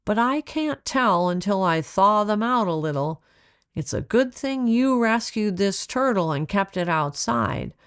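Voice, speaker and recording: A woman's voice: medium pitch, animated, measured pace, smooth, no room sound, studio quality, voice pushed up. A woman voicing a kindly, knowledgeable male wildlife rescuer in a children's storybook, reassuring and explanatory. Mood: frank, hopeful. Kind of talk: children's storybook read-aloud.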